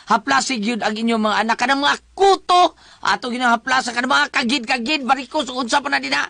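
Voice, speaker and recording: A woman with a Cebuano Filipino accent talks as a radio host. Her voice is high and bright, recorded close and dry. She moves through greetings and promotions in a casual, off-the-cuff way. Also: a little fast, gravelly, dry recording. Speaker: radio host giving greetings and product plugs. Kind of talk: casual live radio banter and ad-lib promotion.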